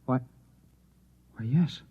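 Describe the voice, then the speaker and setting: A man's voice: drawn-out words, warm tone. An actor playing an earnest, unstable husband who insists he is a Martian, naive and intense in golden-age radio acting. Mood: insistent. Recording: dull vintage radio broadcast.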